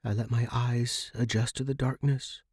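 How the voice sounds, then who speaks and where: A man's voice: mid-range voice, little pitch movement, moderate pace, a little mellow, slightly rough, no echo, clean studio sound. A man hosting a fiction podcast and narrating scripted horror in a dramatic style.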